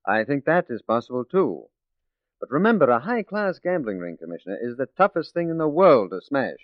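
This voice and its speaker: An actor playing a shrewd, determined police detective in a 1940s radio drama, speaking in a measured, persuasive way. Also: male voice, medium pitch, big pitch swings, dry recording, lightly acted. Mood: grave, resolute. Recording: muffled 1940s radio recording.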